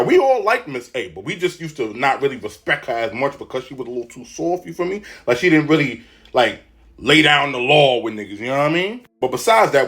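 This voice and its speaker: A man telling a story in casual, slangy, animated style, often checking in with the listener. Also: fairly high pitch.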